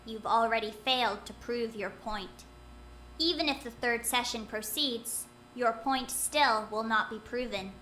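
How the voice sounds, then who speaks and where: A woman voices a stern, unyielding woman who faces down her boss in a fan-made audio drama. Her voice is high and bright, and every line is clipped and formal, with a cold edge. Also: a little slow, slight background noise, low-quality recording. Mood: cold anger.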